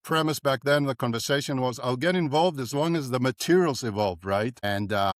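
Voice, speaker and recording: A man's voice: mid-range voice, brisk, no room sound. Older man, dry close sound. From South Africa. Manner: animated, repeats "right?" often. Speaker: dentist guest. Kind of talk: podcast interview.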